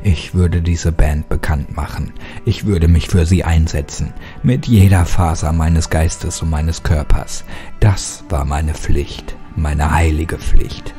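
A man's voice: extremely low pitch, fairly even intonation, slow, mellow. A man giving a scripted, dramatic first-person narration of a horror story. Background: music.

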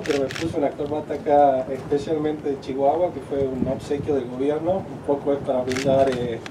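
A middle-aged man with an Argentine accent gives an unscripted thank-you speech at an outdoor ceremony, full of fillers and restarts. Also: medium pitch. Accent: Argentine Spanish. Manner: halting, many filler words and restarts. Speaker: company executive giving a speech. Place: outdoors at a groundbreaking ceremony under a tent. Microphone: handheld mic at a podium, filmed from afar. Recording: distant outdoor camera audio with noise.

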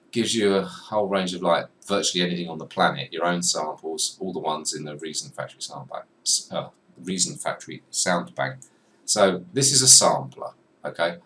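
A young man giving a chatty, self-deprecating software tutorial at his desk, talking to the viewer as he clicks through menus. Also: low-pitched. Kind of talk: screen-recorded software tutorial. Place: small room at a desk. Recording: slightly distant mic with faint room noise.

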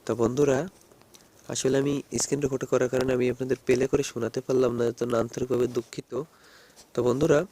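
Fast-talking man, mellow voice. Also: mid-range voice. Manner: repetitive, keeps addressing viewers as friends. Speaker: host of phone app tutorial videos. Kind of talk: casual screen-recorded tutorial.

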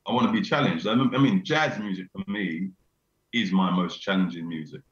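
A middle-aged man with a very low, deep bass voice talks casually and eagerly, often repeating his phrases. The sound comes through a video call and is roomy and slightly dull. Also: normal speed, fairly bright tone. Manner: repeats himself, laughs often, enthusiastic. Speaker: venue owner, guest. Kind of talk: casual chat. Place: small room indoors. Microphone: video call webcam.

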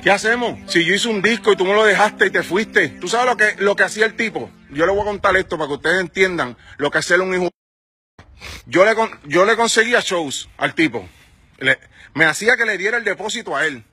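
A man's voice: sits high, expressive, a little fast, bright, forceful tone, slightly rough. A man in his forties with a Puerto Rican accent, a music manager ranting heatedly into his phone outdoors, firing rhetorical questions at his followers. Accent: Puerto Rican Spanish. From Puerto Rico. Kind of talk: angry selfie-video rant. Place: outdoors on a sunny residential street. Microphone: phone at arm's length.

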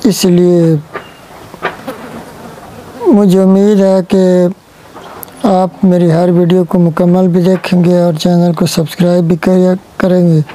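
A man's voice: sits high, little pitch movement, warm tone, a little hiss or hum, poor audio. A young man from Pakistan with a Pakistani Urdu accent, speaking earnestly to camera on a lapel mic outdoors in a village courtyard. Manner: slow, earnest, pleading. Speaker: village vlogger. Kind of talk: direct-to-camera appeal.

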